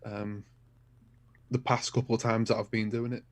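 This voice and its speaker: A man from Liverpool, a guest in a casual chat, soft-spoken and laughing. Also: fairly low pitch, flat delivery, moderate pace.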